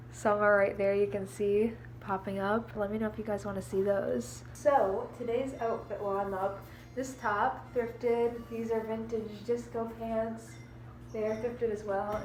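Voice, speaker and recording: A woman's voice: medium pitch, a little hiss or hum, poor audio. A young woman with a stuffed-up, snuffly nose from allergies, chatting casually and rambling to the camera in her living room. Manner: chatty and rambling, many fillers like "um" and "like". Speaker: beauty and costume vlogger.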